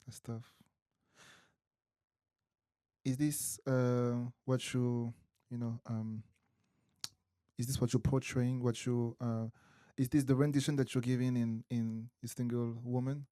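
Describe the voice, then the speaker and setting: Slow, hesitant interview host. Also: mid-range voice, flat delivery, no room sound, studio quality. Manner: many soft backchannel murmurs, hesitant questions. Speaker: podcast host. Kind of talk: casual interview chat.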